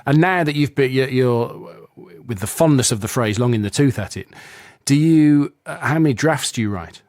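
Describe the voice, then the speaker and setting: A man's voice: medium pitch, lively intonation, moderate pace, a little mellow, dry recording, studio quality. A man hosting a radio interview, asking friendly, rambling questions and affirming often. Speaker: radio host.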